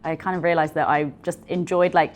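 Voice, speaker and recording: A woman's voice: sits low, level tone, brisk, faint music behind. A young woman who works as a bar manager, talking casually and informally in a documentary interview, often saying "like". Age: young adult. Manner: chatty, informal, peppered with "like". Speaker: bar assistant general manager.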